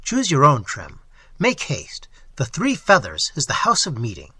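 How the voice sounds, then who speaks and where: Gallant highwayman leader, clipped and in command, read by a man. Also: wildly swooping pitch, unhurried, sharp tone, no echo, exaggerated voice. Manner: crisp and commanding. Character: bold gentleman highwayman captain, commanding.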